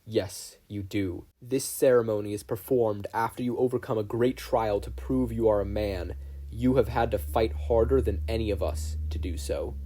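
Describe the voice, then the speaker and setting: A man's voice: lowish voice, moderate pace, warm tone, close to an everyday voice. A man voicing a burly, supportive minotaur warrior in a story, earnest and emphatic.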